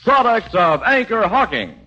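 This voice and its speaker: A man's voice: high voice, big pitch swings, a little slow, loud music behind the voice. An American man announcing in a polished, emphatic 1940s radio sponsor style. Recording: muffled 1940s radio recording.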